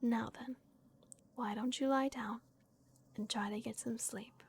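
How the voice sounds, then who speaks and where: A woman voicing a gentle, remorseful vampire guardian in a breathy, hushed whisper, as an ASMR roleplay. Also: a little high, fairly even intonation, full character voice. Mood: tender, reassuring. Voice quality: breathy, whispered with creaky edges. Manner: slow, hushed, hesitant pauses. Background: dark castle ambience.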